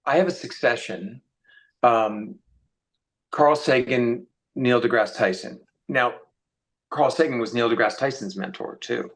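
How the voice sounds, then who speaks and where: A man's voice: medium pitch, a little slow, rough recording. A man speaking as an interview guest, giving reflective, conversational answers.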